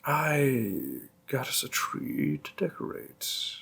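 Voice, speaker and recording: A gravelly demon boyfriend, played by a man in an intimate audio roleplay. He speaks extremely slowly, with a creaky, breathy edge and long pauses. He is doting and protective, gently coaxing the one he loves. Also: a little high, exaggerated voice. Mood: playful, persuasive. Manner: slow, deliberate, hushed, with lingering pauses. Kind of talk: slow, intimate roleplay audio monologue to the listener.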